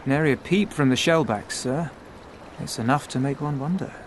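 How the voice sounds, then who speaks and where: An actor playing a loyal pirate first mate in a fantasy video game, wry, measured and formal in his phrasing. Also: mid-range voice, slight background noise, natural voice. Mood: wary.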